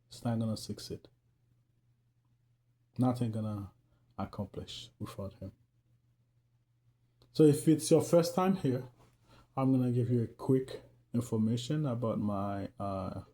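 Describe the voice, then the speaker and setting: A man with a Haitian accent talks loosely to his viewers, earnest and chatty, and breaks into laughs now and then. His voice is warm and mellow and sits in a middle register. He sounds like a faith vlogger speaking from the heart. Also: mid-range voice. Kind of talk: casual talk to camera.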